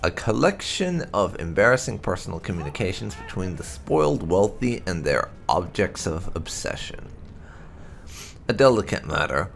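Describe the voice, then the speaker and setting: A man hosting a gaming video, reading lore entries aloud in a theatrical, expressive way with occasional asides. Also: on the low side, creaky.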